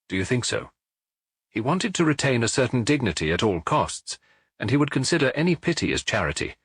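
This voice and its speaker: A weary, earnest police chief acted out by a male narrator in a detective audiobook. His voice is slightly husky and moves with lively pitch, troubled and serious, recorded very dry and close. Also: dry recording. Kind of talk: audiobook character dialogue.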